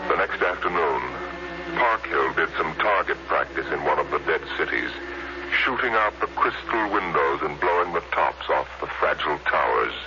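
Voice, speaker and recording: A man giving measured, literary dramatic narration in the style of 1950s radio. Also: very deep voice, animated, noisy background. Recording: dull vintage radio recording. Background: dramatic music.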